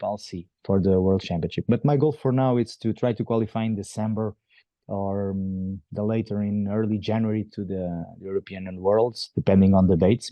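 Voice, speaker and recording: A man's voice: on the low side, no room sound, dull audio. Portuguese-accented man in his forties. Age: 40s. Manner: thoughtful, halting, many restarts and fillers. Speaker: athlete guest. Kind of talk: interview answers.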